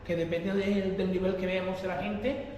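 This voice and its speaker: A man, a salsa teacher explaining classes in a relaxed way. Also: high voice, moderate pace. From Málaga, Spain. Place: dance hall. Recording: echoey hall, faint noise.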